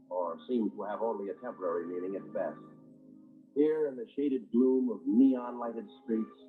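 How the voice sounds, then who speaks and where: A man narrating in a scripted, dramatic 1940s radio style as the announcer of a program. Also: medium pitch. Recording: dull old radio broadcast recording. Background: music.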